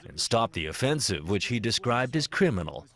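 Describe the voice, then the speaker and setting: A man's voice: on the low side, sing-song, normal speed, a bit bright. A man reading scripted news narration as a reporter.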